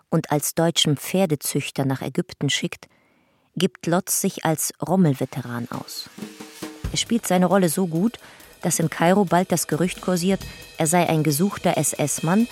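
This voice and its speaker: A woman's voice: sits low, darkish tone, dry recording. A woman narrating a documentary radio play in a matter-of-fact, scripted way.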